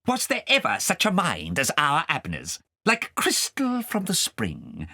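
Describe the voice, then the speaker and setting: A vain, scheming witch from a storybook, acted by a male reader. She is arch and pompous, sliding between raised and low notes in very wide swoops. The sound is dry. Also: wildly swooping pitch, a little slow, gravelly, sharp tone, dry recording, heavily acted. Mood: gushing. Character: scheming, vain, haughty witch, adult woman.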